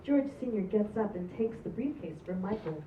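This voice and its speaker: A woman with a medium-pitched voice reads stage directions at a table read. She is muffled, with clear noise behind her. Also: lively intonation, normal speed. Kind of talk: scripted narration at live table read. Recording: distant, echoey, muffled, noisy room.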